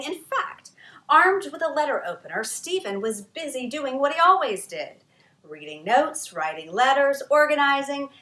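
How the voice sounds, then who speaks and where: A woman reads a children's history story aloud with dramatic pauses and lively emphasis. Her voice is bright and a touch higher than most. The room around her rings with echo. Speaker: author reading her book aloud. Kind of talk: expressive storytime read-aloud.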